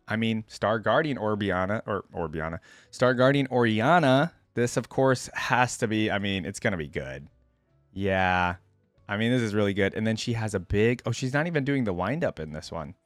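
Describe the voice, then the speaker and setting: A man's voice: mid-range voice, no echo, studio quality. A man hosting a reaction video, commenting casually and conversationally on game skins as he thinks aloud.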